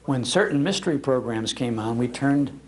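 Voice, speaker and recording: An older American man tells nostalgic stories as a speaker at a talk. His pitch sits in the middle, and his delivery is animated and emphatic. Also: normal speed, rough recording. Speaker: radio historian and broadcaster giving a talk. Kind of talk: nostalgic storytelling to a live audience. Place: public library talk.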